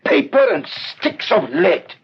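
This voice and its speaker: On a muffled old radio recording, a proud, scornful thief. The voice is quite gravelly and swings widely in pitch as he blusters theatrically. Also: very animated, slow pace, slight background noise, heavily acted. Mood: scornful, menacing. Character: proud, blustering French master thief posing as priest. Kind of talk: old-time radio drama acting.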